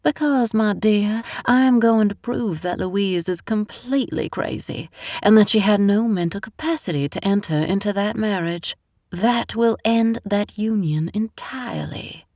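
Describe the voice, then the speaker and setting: A woman's voice: mid-range voice, a little mellow, dry recording, studio quality, lightly acted. Southern matriarch villain, drawling and sinister, read by a woman narrator. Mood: gloating. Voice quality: slow drawl, cackling.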